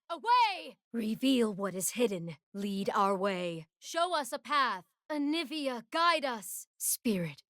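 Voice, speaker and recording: A regal warrior archer queen from a video game, solemn and resolute. Her voice is fairly high and moves with sweeping intonation. She declaims each battle line slowly and gravely, in a dry, close sound. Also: pushed and bright, no room sound, full character voice. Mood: commanding, fierce, then solemn, searching. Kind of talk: video game character voice lines.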